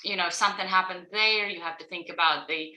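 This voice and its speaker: Bright Ukrainian-accented woman in a roomy space. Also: on the low side, steady tone, fast talker, dull audio. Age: around 40. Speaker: interview guest, college professor. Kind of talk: personal interview account. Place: indoor room at home or office, on a video call. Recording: roomy, echoing video call.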